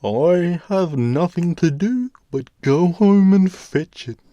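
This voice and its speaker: Loud, booming, scheming fairytale giant. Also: male voice, animated, no echo, muffled recording. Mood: demanding, menacing. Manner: booming, drawn-out, forceful delivery. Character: big scheming, bullying male fairytale giant. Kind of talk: storybook character voice.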